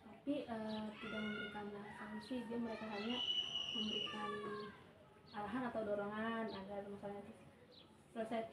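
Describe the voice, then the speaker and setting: A woman's voice: medium pitch, little pitch movement, brisk. A young woman playing a counselor in a practice session, speaking hesitantly with many filler sounds. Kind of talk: role-played counseling session. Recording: echoey room, distant mic, noise.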